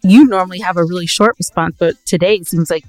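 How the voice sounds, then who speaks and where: A woman's voice: on the low side, fast, no room sound, faint music behind, poor audio. A woman co-hosting a podcast in a casual, playful, teasing way. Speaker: podcast co-host. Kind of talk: casual banter between co-hosts.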